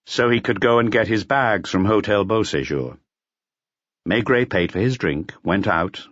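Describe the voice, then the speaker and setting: A man's voice: fairly low pitch, no echo, lo-fi sound. A man reading a detective novel aloud as a scripted audiobook narration.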